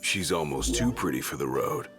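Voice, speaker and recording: A man's voice: very deep voice, lively intonation, moderate pace, fairly bright tone, faint music behind. An actor playing a blunt, casual, burly male companion in a video game. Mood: relaxed. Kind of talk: video game cutscene acting.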